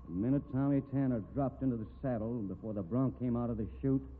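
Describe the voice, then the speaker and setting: Man acting a shrewd cowboy investigator, American western drawl, medium pitch, dry and probing, old radio drama, narrow noisy sound. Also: fast talker, natural voice. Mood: probing, firm. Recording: narrow-band old radio recording, background noise.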